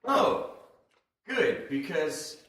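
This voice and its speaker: A man performing in a live comedy sketch in a halting, deadpan manner. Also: a little high. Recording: very echoey room, distant mic, noisy with clicks.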